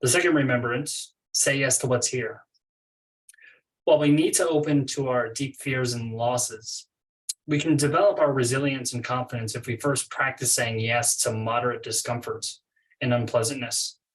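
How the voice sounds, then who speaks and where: A man leading a guided meditation as a coach, reading instructions in a measured way with pauses between phrases. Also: medium pitch, fairly even intonation, far from the mic, rough recording. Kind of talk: guided meditation, read from a script.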